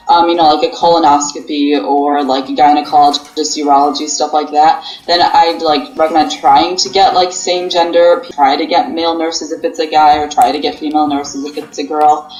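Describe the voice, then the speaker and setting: A woman in her twenties with a low voice talks casually and loosely, leaning on fillers as she explains. The room sounds echoey. Soft music plays faintly under her. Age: 20s. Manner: rambling, many fillers. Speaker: pharmacy student. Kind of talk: casual interview answers. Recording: roomy echo, faint music.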